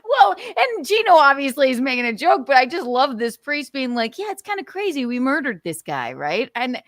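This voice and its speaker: Woman stream host, slightly high voice, dry close sound, giggly storyteller. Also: very animated, normal speed, dry recording. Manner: storytelling, giggly, acts out quotes. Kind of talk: casual comedic chat.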